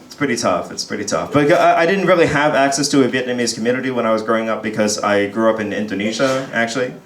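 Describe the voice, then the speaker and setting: A man's voice: mid-range voice, fast, slight background noise. A young man from Texas performing a chatty, self-deprecating stand-up set in a pub, often laughing as he talks. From United States (Texas). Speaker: stand-up comedian. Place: pub comedy stage. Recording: roomy pub sound with faint crowd murmur.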